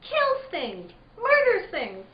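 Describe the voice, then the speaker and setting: Very high, childlike, eerie girl. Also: huge pitch swings, full character voice. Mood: haunted, fearful. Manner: sing-song, repetitive, halting. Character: fearful, childlike, eerie simple-minded girl Till. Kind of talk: spooky rural radio drama acting. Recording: dull, roomy lo-fi recording.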